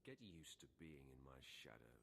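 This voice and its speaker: An actor playing a cold, arrogant young Sith acolyte in a video game, with a curt, haughty, menacing delivery. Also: male voice, very deep voice, big pitch swings, normal speed, creaky, pushed and bright, slight background noise, full character voice. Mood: haughty scorn. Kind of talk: sci-fi video game acting.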